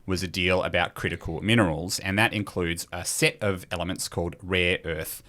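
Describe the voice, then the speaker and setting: A man's voice: sits low, steady tone, normal speed, bright, forceful tone. An Australian man hosting a quiz in a casual, bantering style. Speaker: quiz host.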